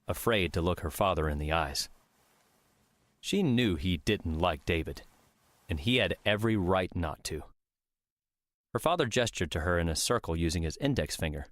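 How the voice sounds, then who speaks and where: Deep-voiced male audiobook narrator. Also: a bit bright, dry recording. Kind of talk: scripted narration.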